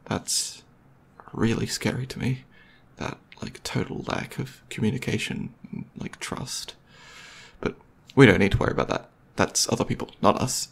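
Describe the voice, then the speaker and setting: A man playing a caring, goofy, slightly nervous boyfriend in an ASMR roleplay, chatting intimately and hesitantly to the listener with a husky, slightly gravelly voice. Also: medium pitch, normal speed. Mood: earnest, hesitant. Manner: hesitant, rambling, playful.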